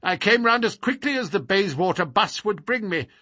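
A man voicing a querulous, miserly little old lord with a sharp crackle and a quick, intense manner. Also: sits high, animated, dry recording, studio quality, voice pushed up, exaggerated voice. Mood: querulous, suspicious.

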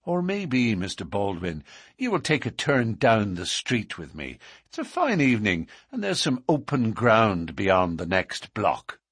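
Guy doing a bold young man in a story, cool and defiant, protective, husky, lively pitch. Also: measured pace, no echo, studio quality, full character voice. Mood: bold challenge. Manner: cool, challenging, tender to his sweetheart.

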